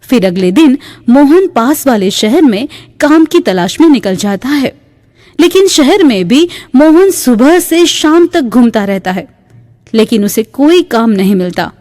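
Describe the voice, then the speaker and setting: A woman's voice: sits high, big pitch swings, measured pace, darkish tone. A woman narrating a scripted moral tale for a cartoon in a storytelling style. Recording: long reverb.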